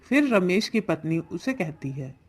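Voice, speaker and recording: A woman's voice: lowish voice, big pitch swings, measured pace, darkish tone, breathy edge. A woman reading scripted narration as the storyteller of a cartoon fable.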